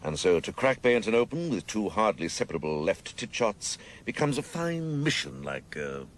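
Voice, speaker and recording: An actor playing an obsessive, theatrical senior policeman in a radio drama, gravelly and sardonic, savouring his grandiose words. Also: on the low side, sing-song, moderate pace, slight background noise. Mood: sardonic, brooding.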